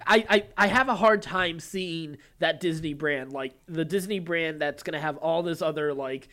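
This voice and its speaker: A man's voice: on the high side, normal speed, sharp tone. A man hosting a podcast, moving between reading news and casually explaining his take in a talkative way. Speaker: podcast host.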